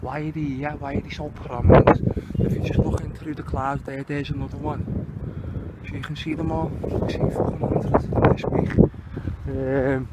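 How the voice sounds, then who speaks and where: A man's voice: on the high side, little pitch movement, moderate pace. A man with a Liverpool accent giving indignant, sweary, exclamatory commentary in a casual vlog he films outdoors. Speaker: video channel host. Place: outdoors under open sky. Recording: very noisy outdoor amateur recording.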